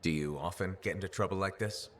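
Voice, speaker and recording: A man's voice: low-pitched, fast, natural voice. A man playing a confident, wry young hero in a video game, talking in a dry, level-headed way. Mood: dry, firm. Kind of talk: video game cutscene acting.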